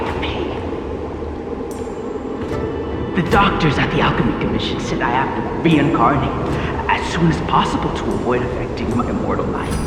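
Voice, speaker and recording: An actor playing a wounded, bitter former comrade in a video game, speaking in an anguished, accusing, dramatic way. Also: male voice, medium pitch, natural voice. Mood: anguished grief. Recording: long echoing reverb under loud music.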